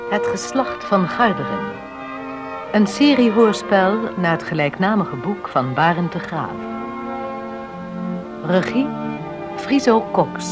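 Woman announcer, slow and formal but very melodic, loud violin music behind her. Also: medium pitch. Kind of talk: formal scripted radio drama announcement. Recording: loud string music under voice.

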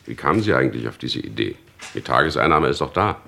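A man's voice: rumbling low, animated, fast, creaky, bright, forceful tone, slight background noise, exaggerated voice. An actor playing a stern, probing chief inspector in a radio crime play, commanding and dryly pointed in his questioning. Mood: probing, suspicious.

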